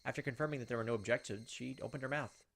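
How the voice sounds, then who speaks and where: A man's voice: medium pitch, very fast, creaky. A man hosting a game playthrough, switching between casual commentary and reading story text aloud. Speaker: gaming video host.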